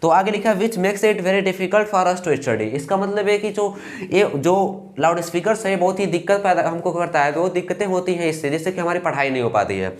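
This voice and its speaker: A young man with an eastern Uttar Pradesh Hindi accent teaching a lesson, reading English lines slowly and carefully. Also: sits high. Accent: Hindi speaker from eastern Uttar Pradesh. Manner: slow and careful when reading English lines, fillers "ठीक" between steps. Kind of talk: explaining a lesson.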